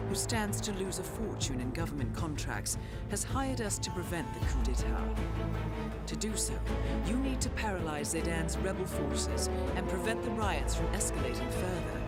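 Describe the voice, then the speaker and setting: A woman playing a composed, professional handler who briefs an assassin in a measured, formal, articulate way for a video game. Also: on the low side, close to an everyday voice. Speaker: mission briefer. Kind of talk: scripted briefing narration. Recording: loud music under the voice.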